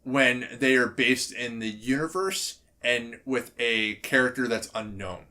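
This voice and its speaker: Male podcast co-host, mid-pitched, opinionated and emphatic in loose, casual conversation. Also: unhurried, pushed and bright. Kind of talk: casual chat about video game movies.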